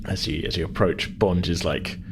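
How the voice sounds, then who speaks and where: Low voiced guy running a tabletop rpg, kinda searching for words, bit of noise behind. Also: steady tone, moderate pace. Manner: hesitant, searching phrasing with hedged descriptions. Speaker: game master. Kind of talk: improvised narration of a tabletop game.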